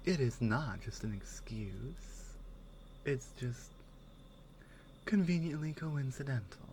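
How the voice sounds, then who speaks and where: A woman voicing a strong, protective Nordic warrior companion in an intimate ASMR roleplay, soft-spoken and partly whispering, tender and teasing. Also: rumbling low, animated, takes it slowly, a little hiss or hum, exaggerated voice. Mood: teasing, affectionate.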